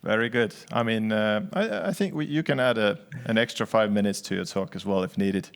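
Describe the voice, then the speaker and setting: Gravelly man hosting a conference. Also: fairly low pitch. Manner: joking, halting with many fillers. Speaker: conference host. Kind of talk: casual onstage chat.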